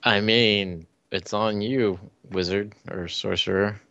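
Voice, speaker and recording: Low-voiced sardonic man, dull line. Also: fairly even intonation, slow, pushed and bright, dry recording. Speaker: player. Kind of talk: casual tabletop game chat.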